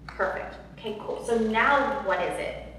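Interview guest, woman, loud and heartfelt; roomy, distant sound. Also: on the high side, measured pace. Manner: earnest, emotional, many fillers and repeats. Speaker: podcast guest. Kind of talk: personal storytelling in an interview. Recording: echoey hall from far off, faint noise.

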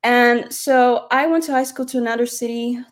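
A young woman from Slovakia with Slovak as her first language, speaking as a guest in an interview and giving soft, thoughtful answers with some hesitations. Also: fairly high pitch, steady tone, normal speed. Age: young adult. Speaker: guest musician. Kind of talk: casual interview.

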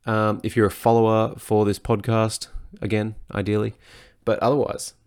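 A low-pitched man with a hoarse, worn voice talks on his own podcast. He speaks frankly and swears freely in casual chat. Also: monotone, moderate pace, darkish tone. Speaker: personal trainer and podcast host. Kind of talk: casual solo podcast talk.

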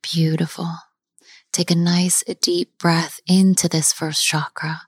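Slow, soft-spoken woman meditation guide. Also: fairly low pitch, level tone, breathy edge, dry recording. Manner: slow, soft, often half-whispered with long pauses.

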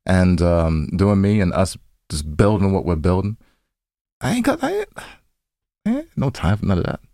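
A man's voice: low voice, sing-song, moderate pace, dark tone, dry recording, clean studio sound. A man co-hosting a podcast in casual, slangy banter, often repeating himself. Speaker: podcast co-host.

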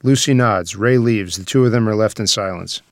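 A man's voice: on the low side, normal speed, no echo. Dry-recorded male screenplay narrator. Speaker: stage directions reader.

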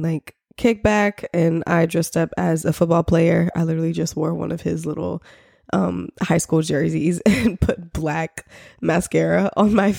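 A young woman hosting a casual podcast while sick, her voice congested, nasal and raspy, chatting with lots of fillers. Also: on the low side, warm tone, no echo, clean studio sound. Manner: chatty, filler-heavy, with little laughs. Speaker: podcast host. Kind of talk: casual solo podcast chat.

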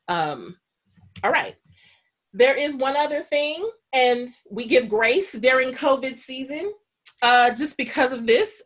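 A woman's voice: medium pitch, fairly bright tone. Woman hosting an online panel. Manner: chatty, housekeeping announcements with asides. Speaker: host and moderator of an online panel. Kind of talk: informal spoken event introduction. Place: online video call.

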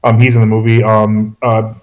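A man's voice: lowish voice, completely flat delivery, normal speed, highs cut off. A man co-hosting a casual chat about movies, rambling and correcting himself with dry asides. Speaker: podcast co-host.

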